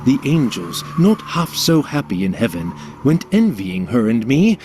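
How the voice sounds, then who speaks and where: Guy reciting a poem real slow and dramatic, solemn, theatrical, music playing behind him. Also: mid-range voice, big pitch swings. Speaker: audiobook narrator reciting a poem. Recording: music under the voice.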